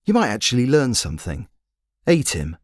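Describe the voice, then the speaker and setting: A man's voice: medium pitch, wildly swooping pitch, normal speed, no echo, studio quality, pitched above the performer's own voice. A man voicing a casual, sceptical but protective brother in an audiobook story. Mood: placating. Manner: plain-spoken, casual.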